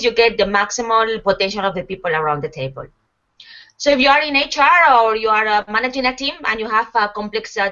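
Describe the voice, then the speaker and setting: A woman in her forties from Spain with a Spanish accent, a team coach giving a webinar presentation that is steady and explanatory, with frequent fillers. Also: mid-range voice. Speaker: guest speaker, team coach. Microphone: online call audio. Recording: muffled web call audio.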